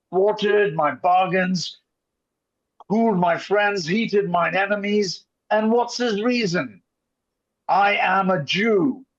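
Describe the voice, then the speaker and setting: Man acting slow, bitter, vengeful Shakespearean merchant. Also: high voice. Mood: bitter resentment, then impassioned indignation. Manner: deliberate, theatrical, emphatic declamation with pauses. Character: Shylock, bitter vengeful merchant and wronged father. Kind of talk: dramatic Shakespeare reading. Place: online video call reading. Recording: muffled video call audio.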